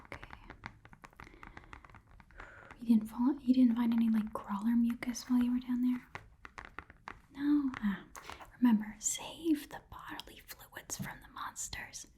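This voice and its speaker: A woman voicing an easygoing goblin pawn shopkeeper in a soft, breathy whispered ASMR roleplay, haggling in a casual, rambling way. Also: on the high side, steady tone, moderate pace, slight background noise, lightly acted.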